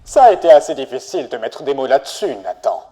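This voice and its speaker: A man's voice: medium pitch, very animated, quick pace, warm tone. A man playing a troubled studio boss who hesitantly confides in a friend, in a dramatic cartoon fandub. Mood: awkward, troubled. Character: reluctant, troubled studio boss humbly asking a friend for help.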